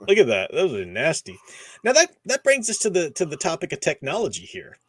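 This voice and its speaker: A man's voice: a little high, wildly swooping pitch, a little fast, no room sound. A man hosting a discussion stream, casually recapping and explaining in an enthusiastic, conversational way. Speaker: host of a book discussion stream.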